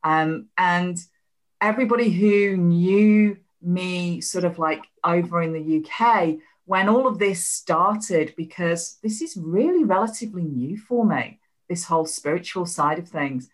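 Woman, 50s, English accent with Australian tinge, lower voice, animated interview chat. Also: measured pace. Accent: English with some Australian. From England. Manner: chatty, animated, warm. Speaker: guest, healing coach.

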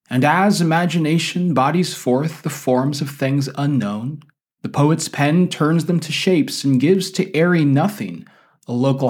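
A man hosting a podcast with measured, articulate phrasing, in the style of an educator presenting and interviewing. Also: medium pitch. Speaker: podcast host; university teacher. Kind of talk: scripted podcast introduction, then conversational interviewing.

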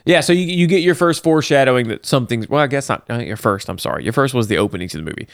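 A man co-hosting a casual podcast, recapping a movie with lively, laughing delivery. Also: medium pitch, racing, vocal fry, no echo, clean studio sound. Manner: animated, laughing, talks through the plot. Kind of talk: casual chat.

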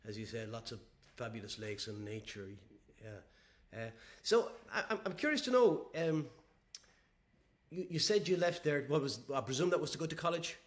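A man's voice: a little high, very animated, normal speed. A man hosting a podcast, asking questions conversationally and often repeating words as he forms them. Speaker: podcast host, interviewer. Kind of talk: conversational interviewing.

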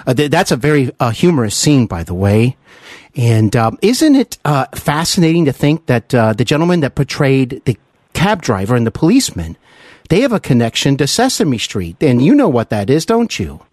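A man's voice: medium pitch, sing-song, normal speed, darkish tone, dry recording, studio quality. A man hosting an interview in an enthusiastic, chatty, admiring way. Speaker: interview host.

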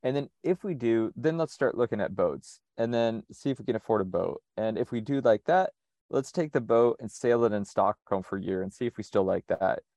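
A man from the United States, speaking in a relaxed conversational interview, thinking aloud with frequent restarts. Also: medium pitch, brisk, dry recording. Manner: reflective, methodical, frequent restarts. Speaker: sailor and interview guest.

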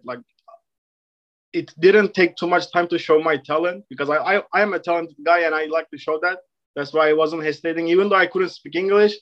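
A man's voice: on the high side, fairly bright tone, highs cut off. A man in his thirties from Turkey with a Turkish accent, a hairstylist guest chatting confidently, with frequent restarts. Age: 30s. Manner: confident, self-assured, halting with repeated restarts. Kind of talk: casual interview chat.

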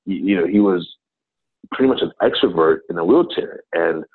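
A deep, husky man in his thirties, from Ghana, talks through a business-consulting interview in a loose, rambling way, restarting sentences and leaning on fillers. His voice comes through a thin, phone-like line that strips the fullness from it. The talk stays casual and conversational. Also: lively intonation, normal speed.

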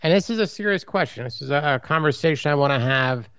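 A man's voice: fairly high pitch, expressive, quick pace, a bit bright, clear, resonant tone, no room sound, highs cut off. A man with a light Baltimore accent co-hosts a podcast in a casual, dry tone. His voice sits a bit above the usual male range, and he sets up his provocative jokes with a straight face. The mic is close and the sound is dry. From Maryland, USA. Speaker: podcast co-host. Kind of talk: casual chat.

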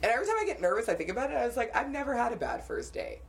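A young woman co-hosting a podcast, telling dating stories in a casual, animated way and laughing often. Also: fairly high pitch, very fast, vocal fry. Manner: animated storytelling with frequent laughter. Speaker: podcast co-host. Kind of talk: casual chat.

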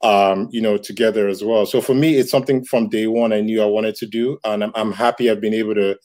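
A man's voice: lowish voice, steady tone, a little fast, darkish tone. A man in his thirties from Nigeria, a comic book creator answering interview questions in a reflective way, with many fillers and restarts. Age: 30s. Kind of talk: casual interview talk.

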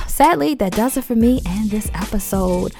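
Female podcast host, congested and hoarse from a cold, casual and sassy, soft music bed. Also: medium pitch, animated, unhurried. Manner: chatty, slangy asides. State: head cold, losing voice.

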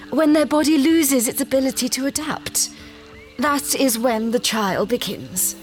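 An actress playing an ancient, dying alien great-grandmother in a sci-fi audio drama, grave and serene, with a deliberate delivery. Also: on the high side, close to an everyday voice. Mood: calm, resigned. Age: older. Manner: slow, deliberate, solemn.

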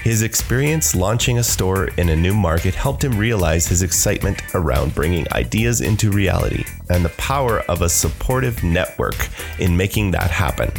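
A man reading a scripted podcast introduction in a measured, announcer-like way. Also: deep voice, creaky. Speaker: podcast producer introducing the episode. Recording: voice over background music. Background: music.

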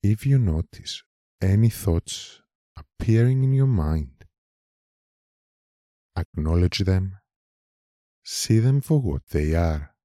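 A man leading a guided meditation in a calm, measured manner, leaving long pauses between short phrases. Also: low-pitched, no room sound, studio quality. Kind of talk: slow guided meditation narration.

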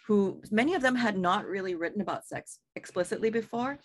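A woman's voice: medium pitch, fairly quick. Chatty woman author from Singapore. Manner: chatty, lots of fillers and restarts, laughs easily. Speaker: author and editor. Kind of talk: interview conversation.

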